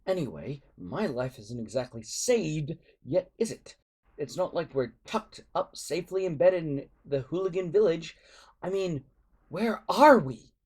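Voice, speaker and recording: Woman as a young storybook Viking boy, sarcastic, exasperated, animated, rising pitch. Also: measured pace, slightly rough, heavily acted. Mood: grumbling. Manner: sarcastic, grumbling.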